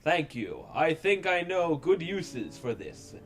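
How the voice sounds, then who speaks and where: A smug cartoon tomcat hero, voiced by a man, speaks with grand calm and total self-regard. Music runs clearly behind this storybook parody. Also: a little high, slow. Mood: smug seduction.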